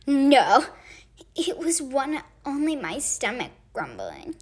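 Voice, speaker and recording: A woman narrates a children's picture book aloud. Her voice is fairly high and very lively in pitch, and she goes very slowly, shaping phrases for drama. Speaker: storybook reader.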